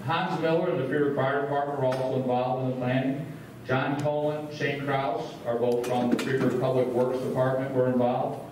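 A man's voice: mid-range voice, darkish tone, slight background noise. A man who is a school superintendent addresses a community meeting from a podium in a large school room, talking plainly with many filler words. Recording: roomy echo, distant from the mic.

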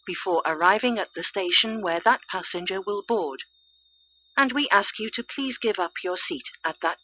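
A female announcer reads formal train information in a slow, carefully articulated way. Her pitch is medium for a woman. The sound comes from onboard speakers with faint hum and noise. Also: pushed and bright, poor audio. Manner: formal, measured, clearly articulated. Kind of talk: scripted automated train announcement. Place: inside a train, over the PA speakers.

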